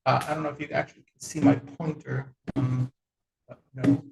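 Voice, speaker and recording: A man from El Salvador giving a relaxed, conversational technical webinar with frequent fillers. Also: mid-range voice, normal speed, creaky, roomy sound, a little hiss or hum, poor audio. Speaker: webinar presenter.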